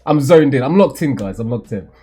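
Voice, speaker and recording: A man hosting a manga read-along, giving casual, animated reaction commentary in a slangy, jokey way. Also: medium pitch, fairly quick, slightly rough.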